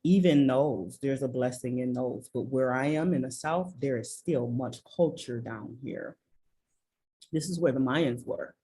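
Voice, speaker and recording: A woman from Michigan telling her story as an interview guest, slow and earnest, with frequent ums and restarts over a video call. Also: very deep voice, warm tone, husky. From Michigan, USA. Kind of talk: reflective personal storytelling. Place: rural village in southern Belize.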